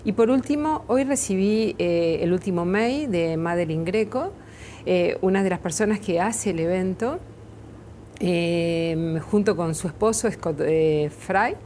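A middle-aged woman with a Uruguayan accent, a makeup artist answering questions in a TV studio interview, often pausing with fillers and restarts. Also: fairly low pitch, warm tone, slight background noise. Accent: Uruguayan Spanish. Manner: hesitant, many fillers and restarts. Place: TV studio set, seated in armchair.

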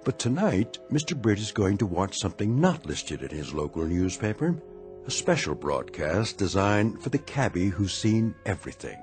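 Deep, measured radio drama narrator. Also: male voice, very animated, darkish tone, soft background music. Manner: measured, ominous storytelling.

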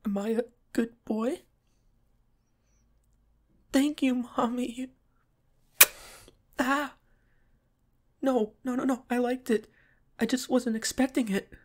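A man playing a shy, flustered, submissive young pop star boyfriend in a roleplay audio, with soft breathy, stammering, hesitant speech. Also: high voice. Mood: nervous, hopeful. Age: young adult. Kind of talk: roleplay ASMR audio acting.

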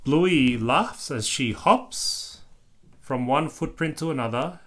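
A man reads a children's story aloud at an unhurried pace. His pitch moves widely and expressively, and he breaks off for casual explanations and little questions to the child. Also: animated, fairly bright tone. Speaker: parent reading aloud to a child. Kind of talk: picture-book read-aloud with casual asides.

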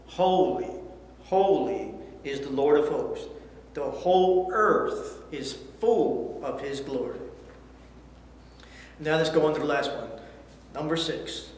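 A middle-aged man teaching a Bible lesson to children, reading scripture aloud and asking questions in an earnest way. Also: a little high. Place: church studio set with backdrop, indoors. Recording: echoey hall, faint hiss.

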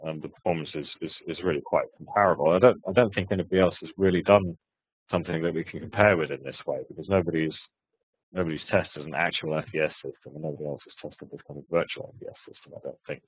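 A man with a hoarse voice from hay fever, presenting research and answering questions on a webinar, with many hesitant restarts. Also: extremely low pitch, fast, no echo. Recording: tinny phone-like webinar audio.

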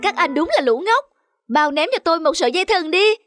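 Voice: very high voice, lively intonation, a little fast. An actor playing a foolish, bossy, hapless stout man in a cartoon. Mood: smug, bossy. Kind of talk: cartoon voice acting. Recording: loud music behind the voice.

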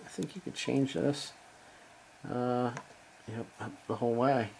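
A man giving a casual, hands-on gear demonstration, halting and unscripted as he thinks aloud from behind the camera in a room at home. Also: medium pitch, fairly even intonation, creaky, a little mellow, slight background noise, poor audio. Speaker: amateur radio hobbyist, presenter. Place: small room at home, indoors.

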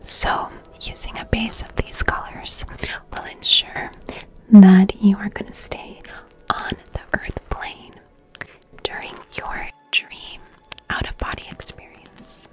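Slow, whispering young woman doing ASMR. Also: mid-range voice, flat delivery, low-quality recording. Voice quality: breathy whisper. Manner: soft, soothing, gentle, repeating phrases. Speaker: ASMR performer playing an art teacher. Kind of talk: ASMR roleplay, personal attention. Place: small bright plant-filled room indoors. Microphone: close studio microphone with fluffy cover.

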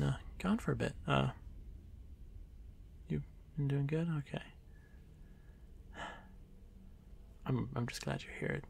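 Male actor as timid young drider for fantasy ASMR: very creaky, soft, halting delivery, lonely and apologetic, audible noise behind. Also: a little high, heavily acted. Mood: self-conscious, anxious. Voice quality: gravelly, creaky. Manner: hesitant, stammering, soft-spoken, often dropping to whisper. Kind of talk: ASMR fantasy roleplay addressed to the listener.

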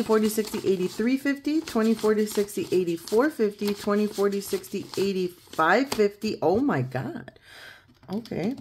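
A woman in her thirties with a croaky morning voice, chatting casually at a home desk and counting money aloud as she fills in savings challenges. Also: fairly high pitch, slight background noise. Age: 30s. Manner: chatty, counts out loud, laughs easily. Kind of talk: casual chat while counting cash.

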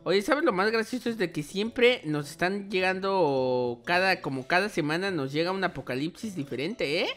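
A young man hosts a gaming roleplay in a high, youthful voice. He chats playfully and often exclaims, with music playing behind him. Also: expressive, normal speed, hardly any pauses, a bit bright. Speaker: gaming video host. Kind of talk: excited gameplay roleplay chat. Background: background music.

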